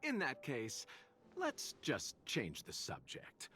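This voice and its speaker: A suave, mysterious merchant. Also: male voice, medium pitch, huge pitch swings, slow pace, a little hiss or hum, full character voice. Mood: knowing. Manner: smooth, with soft amused laughs. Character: suave, mysterious male merchant, composed and knowing. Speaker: game character. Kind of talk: video game voice acting. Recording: game audio with faint music behind.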